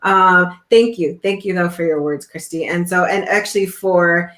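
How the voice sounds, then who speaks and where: Woman host, lower voice, upbeat and reassuring, echoey room, video call. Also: moderate pace, a bit bright. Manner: upbeat and reassuring, talks to the audience. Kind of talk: casual interview chat.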